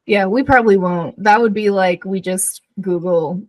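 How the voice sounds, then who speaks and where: Female co-host, mid-range voice. Relaxed book-chat phrasing with casual filler, dry recording. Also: medium pitch, moderate pace, no room sound. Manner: informal, conversational, heavy use of "like". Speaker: podcast co-host.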